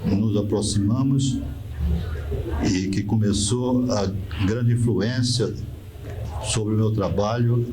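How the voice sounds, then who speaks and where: An elderly man in his eighties with a Northeastern Brazilian accent speaks in an unhurried, thoughtful way, pausing often between phrases. His voice sits at an ordinary middle pitch. A clear noise hiss runs under the recording. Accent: Northeastern Brazilian Portuguese. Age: 80s. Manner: slow, measured, reflective with pauses. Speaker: architect recounting memories. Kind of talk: reflective oral-history interview.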